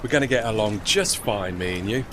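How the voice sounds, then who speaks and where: A man's voice: fairly low pitch, animated, brisk, natural voice. Guy acting chatty cockney tugboat bloke, proud and eager to please, voice swoops about, boat and water noise behind. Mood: proud, affable. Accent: London Cockney. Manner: folksy, ungrammatical, rambling. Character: chatty, deferential working-class river tugman, proud of his trade. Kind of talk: period audio drama acting.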